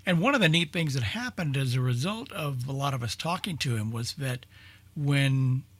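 Husky-voiced man reminiscing, close and dry. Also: medium pitch, expressive, normal speed, a bit bright, no echo. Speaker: radio show panelist. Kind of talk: casual group chat about old-time radio.

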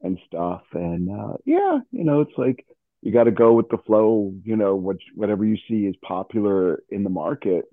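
A man's voice: on the low side, sing-song, moderate pace, no echo. A man chatting casually in a conversational, chuckling manner, like a radio show host. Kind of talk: casual chat. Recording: narrow phone-like radio line.

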